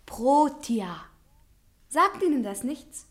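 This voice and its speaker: A woman's voice: high voice, very animated, full character voice. An actress playing a clever young female student in a radio drama, earnest and persuasive. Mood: coy, coaxing. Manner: earnest, persuasive, quick to answer. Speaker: actor in a radio play. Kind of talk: theatrical German radio drama acting.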